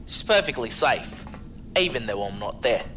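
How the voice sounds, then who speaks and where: A man's voice: mid-range voice, very animated, normal speed, natural voice. A man playing a cautious, chummy security contractor in an audio drama, talking colloquially with familiar deference. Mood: casual, sly. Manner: colloquial, deferential but familiar, calling people sir and mate.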